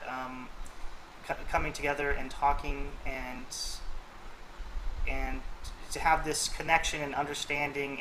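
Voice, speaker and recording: A man's voice: on the high side, sharp tone. Thoughtful rambling man, noisy recording. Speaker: host. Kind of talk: casual chat.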